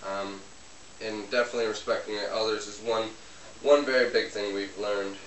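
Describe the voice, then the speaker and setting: A man's voice: on the low side, fairly even intonation, moderate pace, a bit bright, natural voice. A man playing a young Polish man who talks hesitantly with many ums while he cooks in a home kitchen, recorded from a distance. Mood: relaxed. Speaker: interviewee cooking on camera. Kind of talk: amateur comedy sketch interview. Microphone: camera mic from a distance. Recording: roomy, distant, hissy home video.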